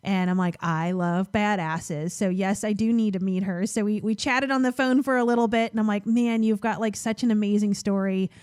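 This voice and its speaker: A woman's voice: medium pitch, fairly even intonation, moderate pace, few pauses, darkish tone, clean tone, no echo, studio quality. A woman hosting a podcast, chatting informally and enthusiastically with her guest. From Illinois, United States. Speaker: podcast host. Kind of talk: casual chatty interview.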